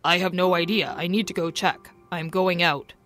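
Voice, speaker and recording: A woman's voice: on the low side, fairly even intonation, moderate pace, a bit bright, lightly acted. A woman voicing a playful, teasing young man with a boastful manner. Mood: calm. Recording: faint music behind.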